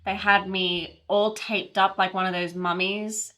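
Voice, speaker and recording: A woman's voice: mid-range voice, little pitch movement, a little slow, a bit bright, natural voice. A woman playing a chatty newlywed who confides a romantic tale in a conversational comedy monologue. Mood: animated recounting.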